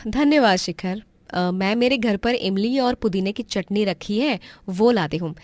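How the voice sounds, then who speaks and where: A woman voicing a kind, resourceful young married woman in a cartoon story, polite and expressive. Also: mid-range voice, a little slow, dry recording, lightly acted. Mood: cheerful.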